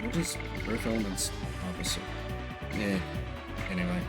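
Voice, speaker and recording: A man's voice: on the low side, big pitch swings, normal speed, vocal fry. A man streaming a video game, giving casual, rambling commentary with jokey offhand asides. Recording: game music in the background. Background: game music.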